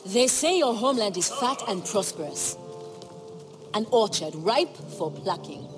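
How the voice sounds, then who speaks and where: An actor playing a greedy, menacing young sellsword in a fantasy game, sly and taunting. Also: male voice, high-pitched, big pitch swings, unhurried, over music. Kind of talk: dramatic fantasy game acting.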